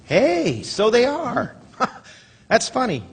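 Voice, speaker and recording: Bewildered, sarcastic everyman: high husky voice, halting folksy delivery, radio drama on an echoey stage. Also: huge pitch swings, heavily acted. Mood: flippant. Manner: stammering, drawling hesitations, folksy exasperation. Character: despairing, sarcastic, bewildered everyman, a family man. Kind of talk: old-time radio drama acting on stage. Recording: echoey theater stage with long reverb.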